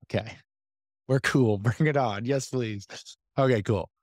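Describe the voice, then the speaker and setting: A man hosting a business podcast, talking casually to his community with a slightly hoarse voice and lots of fillers and asides. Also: medium pitch, unhurried, no room sound, clean studio sound. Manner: energetic, chatty, many fillers and asides. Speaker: podcast host and business coach. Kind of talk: casual talk to a community call.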